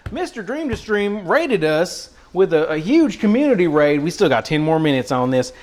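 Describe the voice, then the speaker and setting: A man putting on an American Southern country accent as a goofy, excitable countryman, rambling casually to a livestream chat in a folksy drawl. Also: on the high side, moderate pace. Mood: grateful, chatty. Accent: put-on American country, Southern. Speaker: live streamer cooking for chat.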